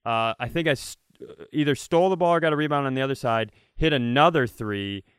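Crisp, close-sounding man telling comic stories. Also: medium pitch, brisk, fairly bright tone, dry recording. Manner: profane, competitive storyteller. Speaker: podcast co-host. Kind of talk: casual comic storytelling.

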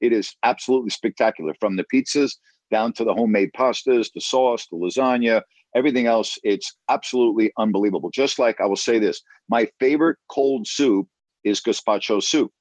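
A man's voice: medium pitch, a little fast, darkish tone, no echo. A man chatting casually as a guest, emphatic and repeating himself for stress. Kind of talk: casual chat.

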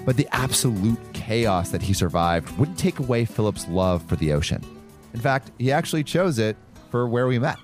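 A man hosting a podcast, narrating in a calm, measured way and interviewing a guest out on a boat. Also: medium pitch, faint music behind. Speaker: podcast host. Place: on a boat in a bay.

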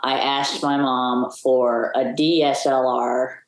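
A young woman with a strikingly low voice answers interview questions, reflective and open as she tells her story. The sound is roomy, with a clear echo around her. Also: flat delivery, takes it slowly. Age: young adult. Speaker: guest. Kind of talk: casual interview chat.

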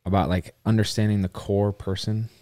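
A man co-hosts a podcast and asks his partner searching questions, stammering and restarting as he gropes for words. His voice is low and sits dry and close to the mic. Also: little pitch movement, moderate pace, mellow, no echo. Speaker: podcast co-host. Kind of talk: conversational interviewing.

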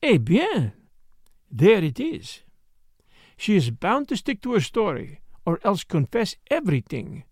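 A man's voice: high voice, huge pitch swings, no room sound, voice pushed up, heavily acted. A man voicing a shrewd, dapper foreign detective who speaks courteously and precisely, with dry formality, in an audiobook mystery. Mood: dry, explanatory. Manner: formal, precise, measured phrasing with French interjections.

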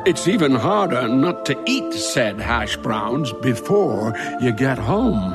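A man's voice: medium pitch, very animated, slow pace. A man reading scripted advertising narration as a commercial announcer. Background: music bed.

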